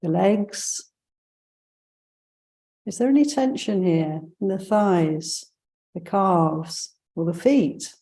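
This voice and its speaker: Woman meditation teacher, soft mellow relaxed tone, really slow and gentle, lots of pauses. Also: fairly low pitch. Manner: gentle, unhurried instruction with pauses. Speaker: meditation teacher leading a monastery session. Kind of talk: guided meditation.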